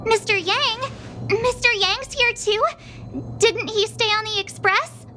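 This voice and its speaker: Very high-pitched girlish adventurer. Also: slow pace. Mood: anxious surprise. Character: perky, anxious teenage girl adventurer. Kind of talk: video game cutscene voice acting. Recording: very loud music bed underneath.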